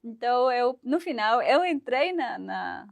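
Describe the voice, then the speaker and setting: A woman speaking, telling lively stories as a talk show guest. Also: on the high side, measured pace, gravelly, dry recording. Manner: animated, acts out voices in her stories. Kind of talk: casual interview storytelling.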